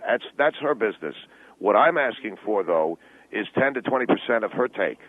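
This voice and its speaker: A man voicing a brash, defensive middle-aged father arguing on a radio call-in comedy, halting and self-justifying. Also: fairly low pitch, expressive. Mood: insistent. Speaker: radio show guest. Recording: tinny phone line with noise.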